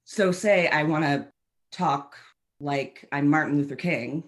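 A woman's voice: sits low, slow pace. A woman dialect coach with a Southern American accent, explaining things animatedly and laughing at herself. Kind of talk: explaining in casual interview.

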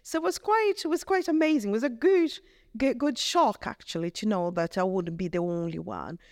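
A woman's voice: medium pitch, no room sound. Brazilian accent lady vicar, earnest, stops and restarts a lot, dead dry mic. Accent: Brazilian Portuguese. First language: Portuguese. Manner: earnest, halting, rephrases often. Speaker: vicar, guest. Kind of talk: reflective testimony in conversation.

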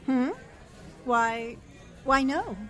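A woman playing a composed, brave newspaperwoman in a 1940s-style radio thriller, brisk and self-possessed. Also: high voice, pushed and bright, faint music behind, full character voice. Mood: alarmed. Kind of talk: 1940s radio drama acting.

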